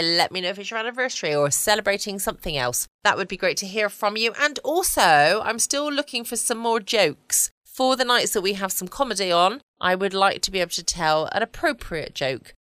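A woman's voice: fairly low pitch, fairly bright tone, no echo, studio quality. A woman hosting a podcast informally from home. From United Kingdom. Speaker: podcast host. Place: home, close dry recording.

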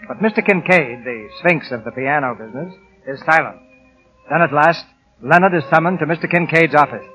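A man's voice: on the high side, fairly quick, sharp tone. A man giving scripted narration for a 1940s radio drama. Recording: dull 1940 radio broadcast recording. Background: music.

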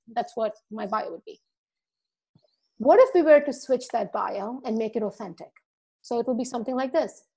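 A woman's voice: on the high side, a little mellow, poor audio. A middle-aged woman from India raised in the Virgin Islands, a dental marketing coach speaking earnestly on a podcast. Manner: earnest, speaks from the heart, acts out quoted dialogue. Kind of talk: casual podcast conversation.

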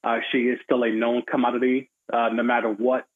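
Phone-line male sports pundit, mid-pitched. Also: little pitch movement, brisk, husky. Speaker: guest sports commentator. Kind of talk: conversational sports commentary. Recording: tinny narrow phone-like line.

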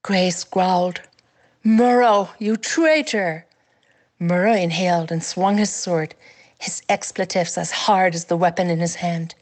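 A woman reading a passage from her novel aloud with measured, expressive storytelling. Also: fairly low pitch. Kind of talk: fiction reading.

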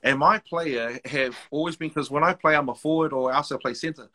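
A man from Wellington with a New Zealand accent, telling stories in casual podcast chat, animated and full of restarts. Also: fairly high pitch, brisk. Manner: animated storytelling, many restarts, slips into quoted voices. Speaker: guest, rapper and basketball MC.

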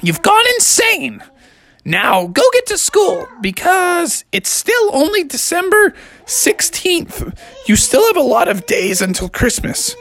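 A woman voicing an exasperated mother frog who scolds her son in a shrill, bossy way. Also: a bit bright, full character voice.

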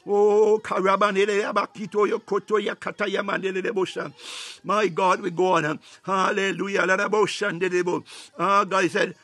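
A man's voice: high-pitched, pushed and bright, no room sound. A man preaching a fervent charismatic sermon and prayer, breaking his phrases with repeated exclamations.

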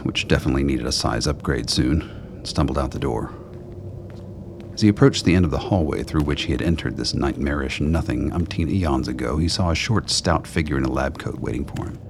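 Male narrator for scripted radio drama, extremely low bass register, clearly noisy background.

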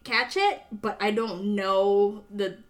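A woman's voice: mid-range voice, steady tone, slow. A woman who speaks English as a second language, hosting a casual, chatty podcast in an informal manner. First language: not English. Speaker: podcast host.

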